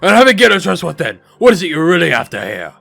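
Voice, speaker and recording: A man's voice: fairly high pitch, very animated, quick pace, gravelly, fairly bright tone, faint music behind, heavily acted. A man voicing a stern, suspicious expedition commander for a fan-made game series, speaking formally and with authority. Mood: accusing.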